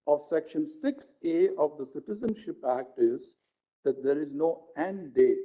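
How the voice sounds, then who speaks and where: A man with an Indian accent, a judge reading out a legal judgment in formal, deliberate phrasing. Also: on the high side, mellow. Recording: dull lo-fi live stream audio.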